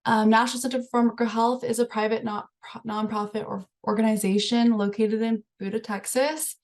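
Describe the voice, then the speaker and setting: A woman's voice: fairly high pitch, little pitch movement, fairly quick. A woman research coordinator opening a webinar, reading an introduction from notes with "um" fillers, on a computer mic. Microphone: computer mic on a video call.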